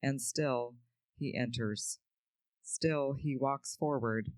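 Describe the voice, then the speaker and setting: A man giving a steady, solemn scripted reading. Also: medium pitch, takes it slowly, no room sound. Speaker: reader.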